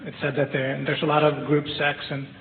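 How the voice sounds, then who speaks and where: A man asking a question as a news reporter at a press conference, speaking haltingly. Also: on the high side, monotone. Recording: echoey hall, phone-like band, faint noise.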